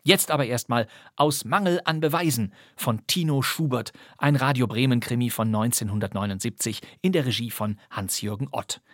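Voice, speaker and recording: A man hosts a radio drama podcast with a scripted introduction. His voice sits at an average pitch in a very dry, close recording. He speaks straight to the listener, teasing a little as he presents the play. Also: normal speed, a bit bright, no echo. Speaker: podcast host.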